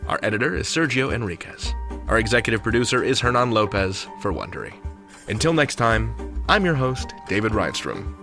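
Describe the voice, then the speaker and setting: A man's voice: lowish voice, sing-song, fairly quick, over music. A man hosting a podcast in a chatty, comic style, playful and conversational. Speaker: podcast host.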